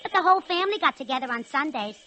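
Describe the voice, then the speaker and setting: A sweet, complaining girlfriend of a locomotive, high and bright. She talks in plaintive slang in a 1940s radio play with faint noise behind. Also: animated, racing, poor audio. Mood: wistful, slangy. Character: sweet, complaining young female locomotive, working-class girlfriend.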